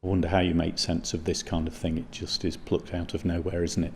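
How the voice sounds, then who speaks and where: A man speaks reflectively in an interview. His voice is deep and very even, with faint ambience behind it. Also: a little fast, a little hiss or hum.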